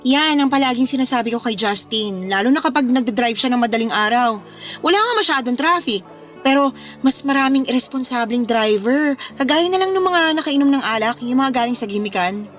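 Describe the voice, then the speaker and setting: A woman's voice: on the high side, expressive, fairly quick, soft background music, close to an everyday voice. A woman acting in a Filipino radio drama as an accommodating young woman who is playful and coy with friends. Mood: emphatic, concerned.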